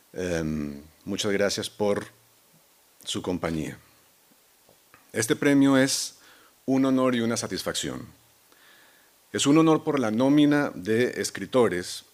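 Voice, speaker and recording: A man with a Colombian accent, a novelist accepting a prize, speaking in a measured, reflective way with soft hesitations. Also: low voice. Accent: Colombian Spanish. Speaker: award-winning novelist.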